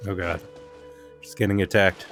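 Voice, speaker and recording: A very deep-voiced man chatting casually on a game stream. He comments loosely as he plays, with music clearly audible behind him. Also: little pitch movement, moderate pace, fairly bright tone.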